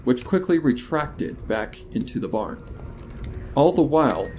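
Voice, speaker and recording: A man narrates a dark horror tale with a very animated, expressive delivery. His voice sits at an average male pitch, with some noise and ambient effects behind it. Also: a little slow. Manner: expressive, descriptive storytelling. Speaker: fan fiction narrator. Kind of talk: dramatic horror story narration. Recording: noticeable noise under the narration.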